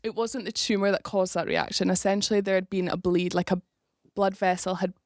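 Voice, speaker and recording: A woman's voice: mid-range voice, steady tone, brisk, no echo. Young woman, from Scotland, rugby player, casual chat, frequent laughs, dry close mic. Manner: chatty, laughs often, many restarts.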